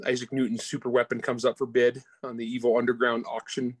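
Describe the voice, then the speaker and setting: A man's voice: mid-range voice, little pitch movement, quick pace, husky, no echo. A man who is an author being interviewed, chatting informally and with enthusiasm about the work. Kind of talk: casual interview chat about their own books.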